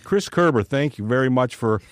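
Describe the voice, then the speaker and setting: A man's voice: mid-range voice, expressive, a little fast, dry recording, studio quality. A man from Detroit with an American accent, co-hosting a casual sports talk show in a blunt, profane, opinionated ranting style. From Detroit, United States. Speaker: sports podcast co-host.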